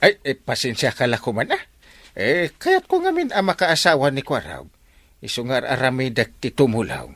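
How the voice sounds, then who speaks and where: A man's voice: medium pitch, wildly swooping pitch, normal speed, fairly bright tone, no echo, clean studio sound, heavily acted. A man playing a jovial, meddling village captain uncle in an Ilocano radio drama, with an Ilocano accent and a habit of starting phrases with a hesitant "eh". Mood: coaxing. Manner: hesitant, repeated "eh" before phrases.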